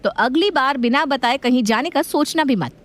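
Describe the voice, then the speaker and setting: A woman playing a strict, watchful modern mother with a firm, knowing, scolding manner in an animated family story. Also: high voice, normal speed. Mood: stern, knowing.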